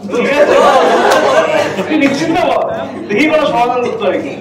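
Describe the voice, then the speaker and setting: An eager, chummy graduate back in his village, acted by a man, reacts with baffled exclamations in a comic stage drama. The voice is very high and distant, swimming in hall echo. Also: animated, a little fast, a loud crowd. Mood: eager, reassuring. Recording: distant echoey hall recording, faint audience noise.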